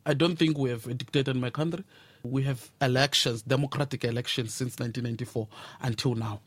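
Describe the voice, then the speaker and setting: A man's voice: medium pitch, fairly even intonation, quick pace. A man with a South African accent answering spontaneously as a radio interview guest, explaining his views in a thoughtful, hesitant way.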